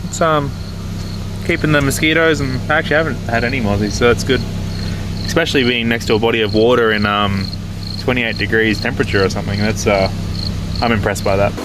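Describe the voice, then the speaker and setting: A man's voice: mid-range voice, steady tone, a little fast. Nasal Australian man, crickets behind. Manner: chatty, easygoing, rambling with ums. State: nasal and hoarse from a cold and sore throat. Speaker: camping vlogger. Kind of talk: casual vlog narration. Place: campsite outdoors at night. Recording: outdoor night noise with crickets. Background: crickets and insects.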